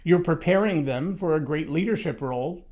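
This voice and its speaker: A man with a loud, somewhat high-pitched voice holds forth on leadership in a solo talk. He speaks emphatically and conversationally, doubling back on words as he thinks. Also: moderate pace. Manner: conversational, emphatic, with frequent restarts.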